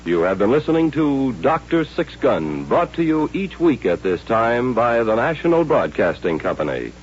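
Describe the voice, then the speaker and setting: Male radio announcer, American, vintage credit-reading style, animated delivery, ordinary pitch, dull archival sound. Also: normal speed, background noise. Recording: dull old radio recording with faint music.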